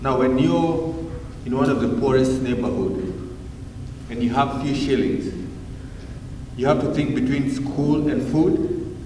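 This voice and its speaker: A man's voice: mid-range voice, warm tone, very echoey, long reverb tail, distant mic, slight background noise, poor audio. A man with a Kenyan accent telling stories from his life to a live audience in a casual, engaging way. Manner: casual, engaging the crowd with questions. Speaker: guest speaker. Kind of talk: storytelling talk to a live audience.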